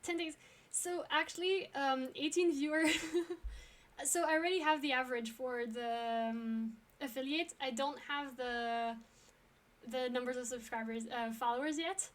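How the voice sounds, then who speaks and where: A young woman from France talks to her stream chat in a relaxed, rambling way. Her voice sits high, and she fills pauses with ums and quick laughs. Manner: chatty, frequent ums and laughs. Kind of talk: casual chat with viewers.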